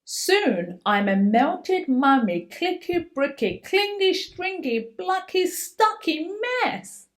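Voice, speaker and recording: High, animated woman reading a picture book. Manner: theatrical, dramatic emphasis with drawn-out words. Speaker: children's book reader. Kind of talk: expressive picture-book read-aloud, voicing a young boy's first-person story.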